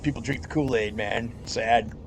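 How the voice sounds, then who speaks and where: Gravelly, rambling man in game chat. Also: medium pitch, steady tone, moderate pace, heavy background noise. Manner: rambling, laughs often, swears casually. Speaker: gamer in voice chat. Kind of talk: casual gaming voice chat.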